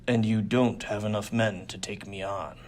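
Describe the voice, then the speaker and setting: A man plays a very large and dangerous fighter in an adventure story. He speaks in cool, terse lines with quiet defiance. Nothing seems to make him flinch. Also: fairly low pitch, fairly even intonation, normal speed, slight background noise, pitched below the performer's own voice, natural voice. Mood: calm menace.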